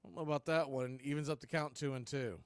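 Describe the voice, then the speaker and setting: Male baseball announcer, mid-range voice, clipped pitch calls and chatty asides, close dry sound. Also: quick pace, no room sound. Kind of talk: live sports play-by-play commentary with scoreboard asides.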